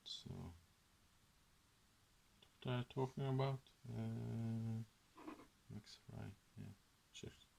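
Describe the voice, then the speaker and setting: A Swedish-native man in a soft-spoken ASMR book chat, rambling and hesitant with many fillers, sometimes dropping into a whisper. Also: mid-range voice, steady tone, extremely slow, vocal fry, long pauses, slight background noise. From Sweden. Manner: drifts into whispers. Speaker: ASMR host.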